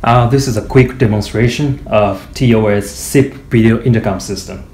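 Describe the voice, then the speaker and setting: A man giving an informal product demonstration in a hesitant, halting way with frequent pauses. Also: fairly low pitch, a little mellow. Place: small indoor office or meeting room. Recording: echoey room, faint background noise.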